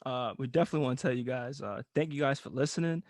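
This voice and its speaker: A man's voice: medium pitch, quick pace, darkish tone, no room sound, studio quality. A man co-hosting a podcast, making short reactions and signing off the show in a laid-back way. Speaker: podcast co-host. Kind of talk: casual chat, closing the show.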